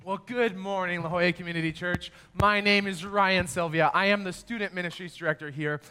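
A slightly hoarse man giving upbeat, welcoming church announcements as a student ministries director. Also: high-pitched, fairly even intonation, a little fast, pushed and bright, a little hiss or hum. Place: church sanctuary. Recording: long hall reverb.

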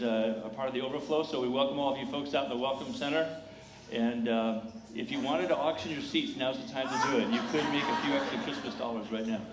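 A male pastor addresses his congregation in a mid-pitched voice. His manner is welcoming and encouraging, with measured phrasing. The live church recording is echoey, with some faint noise behind him. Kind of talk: church welcome and scripture reading. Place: large indoor church during a live service. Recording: echoey church sanctuary, clear background noise.

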